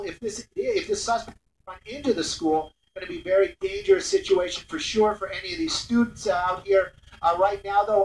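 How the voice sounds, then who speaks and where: Man, high voice, airborne news reporter, halting live commentary, distant roomy sound, some noise. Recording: roomy, distant airborne radio link, noisy.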